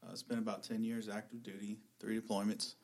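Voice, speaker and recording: A man in his thirties, an army veteran guest, answering in a hesitant, soft-spoken but direct way with many pauses. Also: on the low side, little pitch movement. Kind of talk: interview answers.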